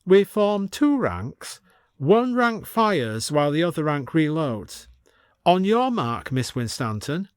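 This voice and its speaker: A man's voice: a little high, big pitch swings, slow, clear, resonant tone, dry recording, clean studio sound, pitched above the performer's own voice. A man voicing a pompous older military colonel with a commanding, old-fashioned officer's manner in an audiobook story.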